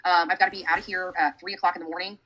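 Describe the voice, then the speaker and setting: American woman, rapid-fire, forceful bright tone, rambling livestream host, casual monologue. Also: on the low side, flat delivery, low-quality recording. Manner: rambling, repetitive. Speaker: online true-crime livestream host.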